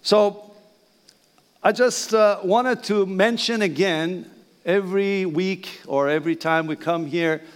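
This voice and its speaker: An older man from Iran preaching a sermon in English with a Persian accent, halting and repeating phrases. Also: high-pitched, smooth. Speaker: pastor. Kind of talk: bilingual sermon. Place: church sanctuary. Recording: reverberant church hall.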